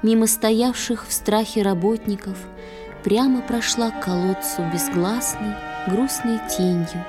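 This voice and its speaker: A woman narrating a literary fairy tale in verse, in a soft, mournful, tender recitation. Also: medium pitch, steady tone, a little slow, loud music behind the voice. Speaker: storyteller narrator.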